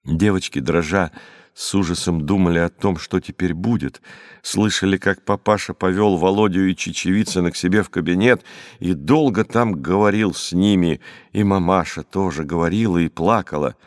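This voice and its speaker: Male audiobook narrator, lowish voice, expressive, dramatic phrasing, scripted storytelling, dry studio-close sound. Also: moderate pace, no room sound.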